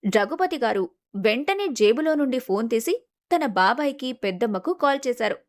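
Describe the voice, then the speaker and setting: A woman narrates a scripted family story as its storyteller. Her voice sits somewhat high. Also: expressive, fairly quick.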